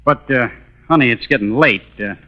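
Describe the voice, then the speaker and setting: An actor playing an awkward American boyfriend in a 1950s radio drama, casual and halting, with forced friendliness. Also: male voice, mid-range voice, lightly acted. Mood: uneasy, friendly. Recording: muffled old-time radio recording.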